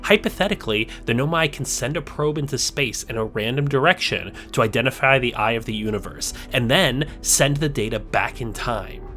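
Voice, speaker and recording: A man narrating a scripted video essay, explaining a story step by step in a measured way. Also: medium pitch, sharp tone, faint music behind.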